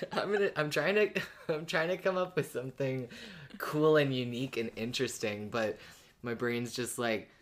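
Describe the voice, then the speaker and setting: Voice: mid-range voice, normal speed. A podcast co-host chatting casually about fan theories, thinking aloud and reading out listener comments.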